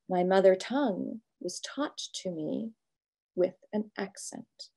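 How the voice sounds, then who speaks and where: A middle-aged woman pastor giving a reflective, prepared talk from a home office over a video call, measured and thoughtful, pausing between phrases. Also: mid-range voice, creaky, mellow. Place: home office with bookshelves, indoors.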